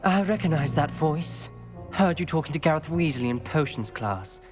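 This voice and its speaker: Man voicing a haughty, high-pitched schoolboy wizard. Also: expressive, normal speed, lightly acted. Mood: suspicious. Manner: accusatory, clipped, imperious. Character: suspicious, haughty teenage wizard schoolboy, sharply protective. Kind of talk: dramatic video game dialogue. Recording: long reverb with music behind. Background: music.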